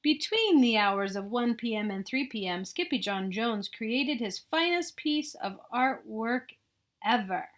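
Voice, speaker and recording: Woman, higher-pitched voice, children's storyteller, expressive picture-book narration, chatty asides. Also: a little slow. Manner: expressive, addresses young listeners. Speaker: read-aloud storyteller.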